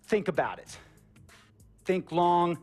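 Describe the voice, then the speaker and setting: Casting: money-hungry American team owner, menacing and theatrical, unhurried speech over a light music bed. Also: male voice, high-pitched. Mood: cold, threatening. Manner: theatrical, menacing, deliberate, swinging from hushed to shouting. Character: domineering, money-driven male pro basketball team owner lecturing a player. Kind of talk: dramatic cinematic monologue. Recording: music under the voice.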